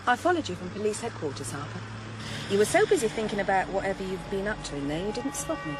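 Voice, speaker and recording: An actress playing a streetwise, sharp-tongued but frightened young woman in a radio drama, sarcastic and challenging. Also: mid-range voice, sing-song, fast, background noise, lightly acted.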